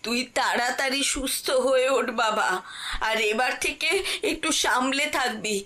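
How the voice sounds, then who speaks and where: A woman's voice: high voice, moderate pace, a loud crowd, natural voice. A woman with a West Bengal accent voicing an anxious, tender village mother in a cartoon, emotional and pleading. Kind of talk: cartoon voice acting.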